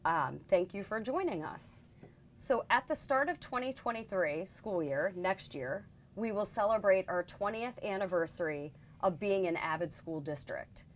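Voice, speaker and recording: A woman's voice: mid-range voice, expressive, measured pace, slight background noise. A woman hosting a school district interview, asking friendly questions. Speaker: interview host.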